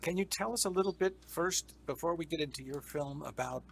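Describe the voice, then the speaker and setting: A man's voice: a little high, fairly quick, creaky, husky. A man hosting a film festival interview, introducing guests and asking friendly, rambling questions. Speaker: interview host.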